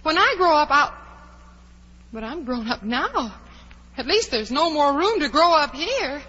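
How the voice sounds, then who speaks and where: A woman's voice: high-pitched, very animated, measured pace, pushed and bright, exaggerated voice. A woman playing a curious, chatty, plucky young girl who wonders aloud in a 1940s radio drama. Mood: anxious, plucky. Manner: wondering aloud, exclaiming, polite. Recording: dull, hissy 1940s radio recording.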